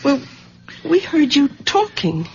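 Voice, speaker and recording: A woman playing the concerned, middle-aged daughter of an old man in a 1970s radio drama, husky and carefully hesitant. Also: high voice, sing-song, slow, full character voice. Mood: careful probing. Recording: dull vintage radio recording.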